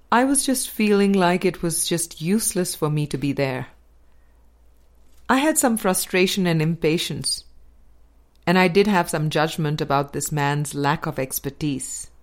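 Slow, measured woman reading aloud. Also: on the low side. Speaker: audiobook reader. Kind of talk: scripted narration of a spiritual dialogue book.

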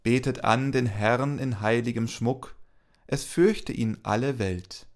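A man's voice: medium pitch, slow pace. A man reading psalm verses and prayers as co-leader of a church service.

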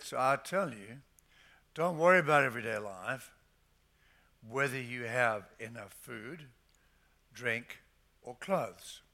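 Older male pastor, emphatic sermon voice, unhurried pace. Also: medium pitch, sharp tone. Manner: emphatic preaching, slows to stress scripture phrases. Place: church.

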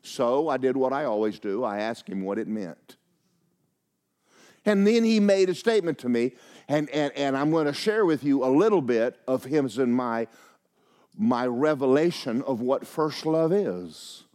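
Older pastor, folksy storyteller, lively swooping delivery with voiced-out quotes. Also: male voice, medium pitch, a little slow. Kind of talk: sermon with personal stories.

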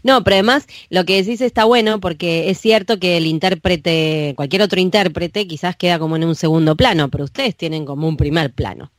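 A woman with an Argentine accent hosting a casual podcast interview, chatty and quick to laugh. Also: fairly low pitch, no echo, studio quality. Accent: Argentine Spanish. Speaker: podcast host.

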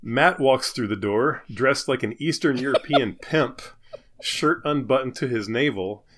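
A man's voice: medium pitch, unhurried, a bit bright. A man hosting a podcast, chatting casually and narrating a comic script. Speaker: podcast host.

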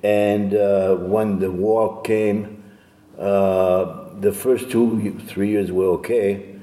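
An older man with a French accent giving a talk as guest speaker, halting with many fillers and restarts. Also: fairly low pitch, little pitch movement, mellow, reverberant, long reverb tail. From France. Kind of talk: lecture talk.

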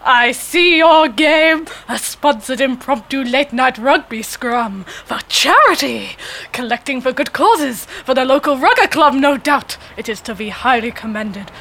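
Pompous, booming old British gentleman. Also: animated, unhurried, heavily acted. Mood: jovial bluster. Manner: booming bluster, old-fashioned posh exclamations. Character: pompous, jolly, oblivious older English gentleman ex-sportsmaster. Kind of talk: theatrical sketch comedy monologue.

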